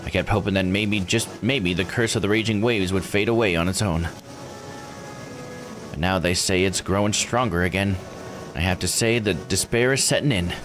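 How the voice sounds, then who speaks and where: A man voicing an earnest, grieving villager from a visual novel, in a measured delivery. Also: sits low, lightly acted. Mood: despairing. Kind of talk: reading visual novel dialogue aloud. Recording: music under the voice.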